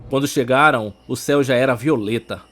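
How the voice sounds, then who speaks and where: A man's voice: medium pitch, brisk, a bit bright, slight background noise. A man with a Brazilian accent reading a scripted suspense audiobook as narrator, with music behind him.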